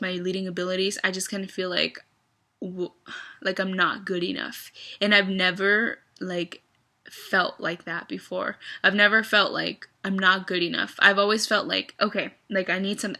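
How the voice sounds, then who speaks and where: A woman's voice: mid-range voice, sharp tone. A young woman in a casual, confessional vlog, talking in a rambling, hesitant way with many fillers. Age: young adult. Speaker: vlogger.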